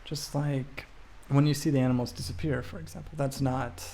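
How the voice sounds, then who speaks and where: A man streaming a game talks in a soft-edged, mellow voice with noticeable fry. He is reflective and halting, thinking through his answers as he goes. There is light background noise with keyboard typing. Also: mid-range voice, steady tone. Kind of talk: casual livestream commentary while playing, answering chat questions.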